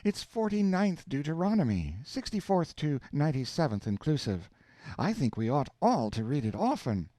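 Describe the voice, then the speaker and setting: Male reader playing a high-voiced, exclamatory housewife shouting her half of a phone call for a storybook reading; bouncy inflection. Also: sing-song, normal speed, no room sound. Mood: exclaiming. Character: chattering housewife on the telephone.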